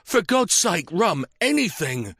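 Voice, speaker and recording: A man's voice: high-pitched, big pitch swings, takes it slowly, creaky, a bit bright, no room sound, clean studio sound, voice pushed up, exaggerated voice. A man voicing a desperate young male spiv, soaked and terrified, pleading for a drink.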